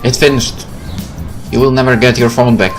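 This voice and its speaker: A man's voice: mid-range voice, flat delivery, normal speed. A man reading a visual novel's narration and dialogue aloud as a let's player, with casual asides and game music behind.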